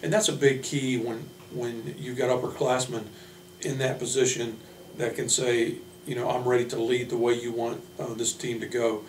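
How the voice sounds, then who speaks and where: A man speaking as a basketball coach, giving informal player introductions in a measured, hesitant way with frequent pauses. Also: medium pitch, flat delivery. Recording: roomy, distant mic, faint hum.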